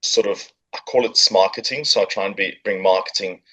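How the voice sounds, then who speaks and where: A man's voice: deep voice, a little fast, fairly bright tone, husky, muffled recording. A middle-aged man with a South African accent, chatting as a podcast guest and telling his story with jokes and fillers. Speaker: podcast guest, business owner. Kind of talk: casual interview chat. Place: coworking space.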